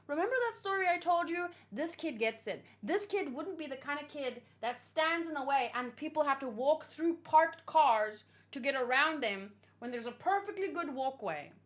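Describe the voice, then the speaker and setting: High, bright-voiced woman reacting excitedly. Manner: emotional, gushing and exclaiming. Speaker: host of a manga read-along reaction. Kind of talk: excited, rambling reaction commentary.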